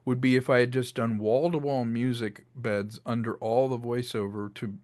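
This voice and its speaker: A man's voice: mid-range voice, steady tone, normal speed, a little mellow. A man speaking casually as an interviewed creator, explaining his craft and acting out imagined remarks as he goes. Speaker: interviewed filmmaker and audio drama creator.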